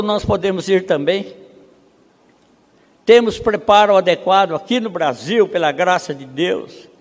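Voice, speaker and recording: Brazilian man preaching in a reverberant hall. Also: on the high side. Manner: rhetorical questions, emphatic rises; reads statistics slowly. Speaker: missionary conference speaker. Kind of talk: conference preaching. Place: seminary auditorium.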